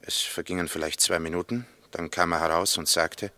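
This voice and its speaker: An actor playing a matter-of-fact engineer giving witness testimony in a 1960s radio drama, concise and sober. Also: male voice, deep voice, fairly even intonation, a little fast, bright, forceful tone, no echo. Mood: sober, factual.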